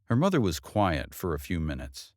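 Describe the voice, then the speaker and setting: A man's voice: sits low, wildly swooping pitch, moderate pace, no echo, studio quality. A man reading a novel aloud as an audiobook narrator, in scripted storytelling style.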